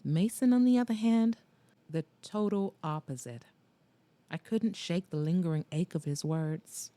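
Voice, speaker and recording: A woman narrates a romance audiobook in a soft, intimate storytelling voice. Her tone sits low and mellow, recorded close and completely dry. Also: measured pace, no echo. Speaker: narrator and podcast host. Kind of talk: first-person audiobook narration.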